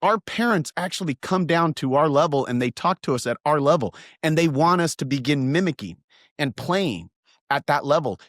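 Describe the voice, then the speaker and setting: A man of about fifty speaks as a podcast guest, earnest and animated, leaning hard on key words. His voice is mid-pitched for a man. The sound is dry and close, with no room echo. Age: around 50. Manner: animated storyteller, earnest and emphatic. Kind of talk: casual podcast conversation.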